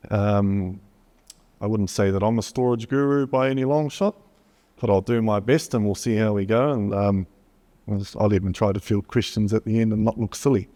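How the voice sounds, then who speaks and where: A man's voice: on the low side, normal speed, mellow. A man with a New Zealand accent giving a technical conference talk, hesitant with frequent fillers as he reads from slides. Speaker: conference presenter. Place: conference hall. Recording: long hall reverb.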